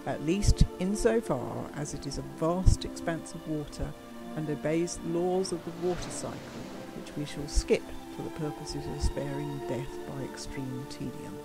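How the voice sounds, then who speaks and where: A woman's voice: low-pitched, normal speed, vocal fry, hardly any pauses, over loud music. A woman narrating a comic science-fiction radio drama from a script, in a dry storytelling manner.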